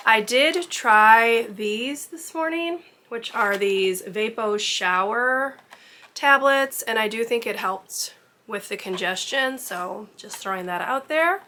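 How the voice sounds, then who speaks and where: A young woman talks through her day in a relaxed, chatty vlog. Her voice is bright and clear-toned but a bit stuffed up from congestion. She explains things to her viewers as she goes. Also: on the high side, takes it slowly. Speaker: kindergarten teacher. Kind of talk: casual vlog chat. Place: at home.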